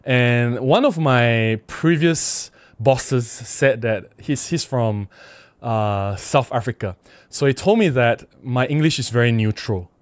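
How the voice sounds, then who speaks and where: A man's voice: mid-range voice, takes it slowly, no room sound. A middle-aged man with a Malaysian accent chatting casually on a livestream, reading out comments and replying in an emphatic, conversational way. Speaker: livestream host. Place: small indoor room at a desk. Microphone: close desk microphone with windscreen.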